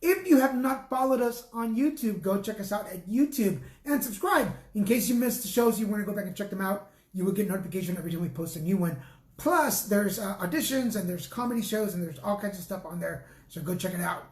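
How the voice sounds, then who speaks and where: A man co-hosting a casual talk show, laid-back and halting, often restarting his sentences. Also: sits high, lively intonation, roomy sound. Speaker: co-host. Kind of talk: casual chat.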